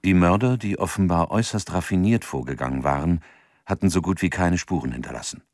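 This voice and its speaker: A man narrating a radio drama in a measured, sober, scripted documentary style. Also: sits low, darkish tone, no room sound, clean studio sound.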